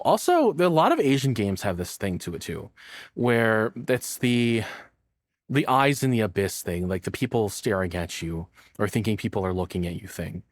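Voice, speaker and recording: Male streamer for casual reaction commentary: low voice, dry close sound, informal, sweary, enthusiastic. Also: no echo.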